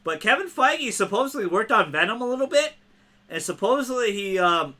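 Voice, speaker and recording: A man hosting a casual gaming podcast, excitable and quick to laugh. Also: high-pitched, a crowd in the background. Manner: excitable, laughs often, talks fast in bursts. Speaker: podcast host. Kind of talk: casual chat.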